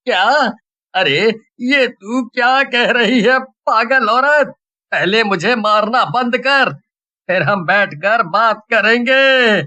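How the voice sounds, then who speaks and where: An exasperated village husband in a cartoon story, played by a man. The voice is high and bright, with slow, dramatic delivery, indignant and pleading. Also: full character voice. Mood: alarmed, indignant. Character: exasperated village husband beaten by his wife. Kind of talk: Hindi animated story dialogue.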